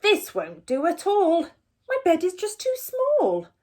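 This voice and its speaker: Woman reading as a kindly, high-voiced storybook man. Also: huge pitch swings, slow, dark tone, exaggerated voice. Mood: exasperated. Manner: exclaiming, concerned, obliging. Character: kindly, hospitable man in a park hut, gently flustered.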